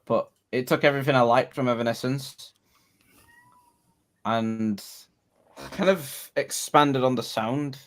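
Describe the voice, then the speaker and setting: A man's voice: mid-range voice, a little slow, long pauses. A man co-hosting a music review podcast, chatting casually, swearing and rambling until he loses his train of thought. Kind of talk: casual chat.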